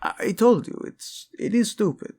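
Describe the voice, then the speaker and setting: An actor playing a protective, lovesick king in a romantic audio drama, speaking tenderly and haltingly with a Spanish accent. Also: high voice, wildly swooping pitch, vocal fry, a little mellow, full character voice. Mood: displeased, flustered. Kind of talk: intimate romantic audio drama acting addressed to listener.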